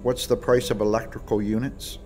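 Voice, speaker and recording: Low-voiced man demonstrating a game add-on. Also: level tone, fairly quick, a little mellow. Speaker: creator demonstrating his voice pack. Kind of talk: casual gameplay demonstration commentary. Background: music behind the voice.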